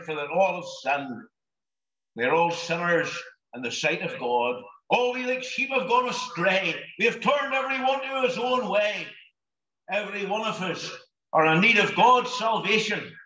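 A man preaching an impassioned gospel sermon, pleading with his listeners and breaking into shouts, at a drive-in meeting. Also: high-pitched. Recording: echoey, dull lo-fi recording.

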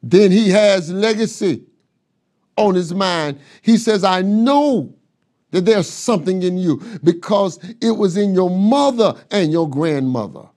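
Middle-aged male pastor, high-pitched, slow deliberate sermon delivery, close lapel sound. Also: expressive, darkish tone. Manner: emphatic preaching with rhetorical questions and repetition. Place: pastor's office with bookshelves, seated at desk.

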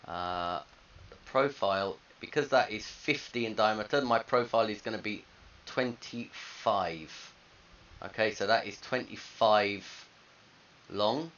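Man, forceful bright tone, instructional, thinking aloud step by step, dull headset recording. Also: fairly low pitch. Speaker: design teacher. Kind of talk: screencast software tutorial, informal step-by-step narration. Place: indoors at a computer. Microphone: headset microphone. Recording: dull lo-fi headset audio, faint hiss.

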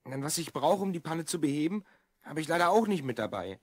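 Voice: medium pitch, very animated, brisk, dry recording. A performer playing a secretive boy of twelve from the future in an audio drama, explaining things confidingly. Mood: troubled. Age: child character, twelve. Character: Rhonn, secretive stranded boy time traveller from the future. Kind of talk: German audio drama acting.

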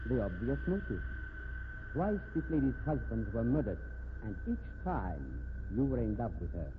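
Tinny old-radio actor as a courteous detective. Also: male voice, medium pitch, very animated, normal speed, lightly acted. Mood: courteous, shrewd. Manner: formal, precise, polite. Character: courteous, shrewd, unruffled police detective. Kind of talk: 1950s radio drama acting. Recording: narrow, noisy 1950s radio recording.

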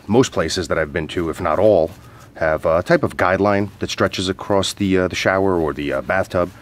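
Male gear reviewer, low register, informal hesitant how-to delivery, faint ambient noise behind. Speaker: product reviewer and outdoor coach. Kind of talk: casual product demonstration and review.

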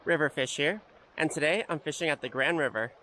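A Canadian man with a slightly raised pitch talks casually while standing in a river. His reactions are quiet and easygoing. A faint hiss of rushing water sits under the voice, picked up by a head-mounted action camera. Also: low-quality recording. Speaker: fishing vlogger. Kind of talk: casual first-person vlog narration. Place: outdoors wading in a river. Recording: faint outdoor water noise.

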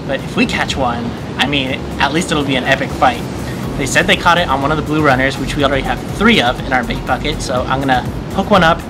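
A man's voice: medium pitch, fast talker. A man in his thirties, a fishing vlogger, explaining to camera outdoors on an ocean pier. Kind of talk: casual vlog narration. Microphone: action camera at arm's length. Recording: music under the voice.

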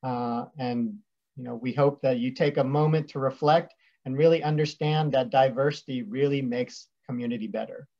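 A man's voice: medium pitch, steady tone, normal speed, a little mellow. A man in his thirties from West Virginia, an attorney speaking in a friendly, thoughtful way on a video call. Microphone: video call webcam. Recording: dull lo-fi webcam audio.

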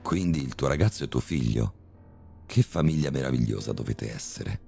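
A man playing a cold, calm, sadistic male surgeon who speaks with polite, mocking menace in a horror story reading. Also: rumbling low, moderate pace, gravelly, faint music behind, heavily acted. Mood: icy calm.

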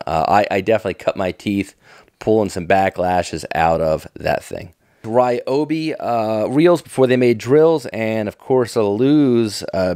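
Low-voiced folksy American man. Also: a little mellow, dry recording, clean studio sound. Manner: folksy, enthusiastic, nostalgic. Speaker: fishing tackle vlogger. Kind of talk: casual off-the-cuff catalog commentary.

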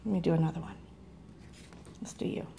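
A woman's voice: low-pitched, lively intonation, brisk, gravelly, mellow, slight background noise. Woman, casual cooking demo, chatty, rambling, self-correcting, phone recording, home kitchen. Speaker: farm vlogger. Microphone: phone held in hand.

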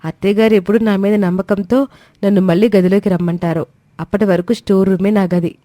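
A woman's voice: medium pitch, steady tone, brisk, warm tone, no echo, close to an everyday voice. A woman voicing a meek, dutiful young daughter-in-law who is polite, deferential and composed in a family story. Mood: resolute, apologetic.

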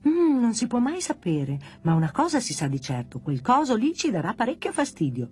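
A woman's voice: on the high side, sing-song, brisk, darkish tone, slightly rough, soft background music, pitched above the performer's own voice, full character voice. Woman voicing a scolding, sensible pillow toy. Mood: wry, warning.

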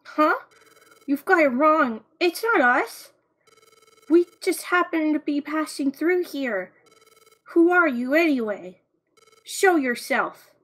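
A woman voicing a small, earnest, excitable young water creature in an expressive way for a game story. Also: high voice, takes it slowly, long pauses, heavily acted, low-quality recording. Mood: startled, defensive. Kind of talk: dramatic fan voice acting for a game story.

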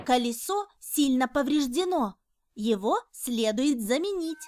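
High-voiced woman narrating for children. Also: expressive, measured pace, noisy background. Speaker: narrator of a children's educational cartoon.

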